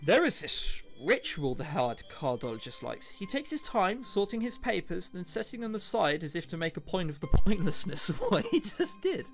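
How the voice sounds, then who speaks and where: A man's voice: sits high, wildly swooping pitch, normal speed, gravelly, hardly any pauses, soft background music. A British man hosting a let's play, reading a visual novel aloud and adding chatty, jokey commentary. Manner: jokey, chatty asides between read passages.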